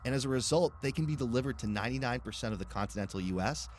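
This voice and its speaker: A man's voice: medium pitch, animated, racing, a little hiss or hum. A man reading a podcast sponsor message in a casual, informal, slightly hesitant way. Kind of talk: casual ad read.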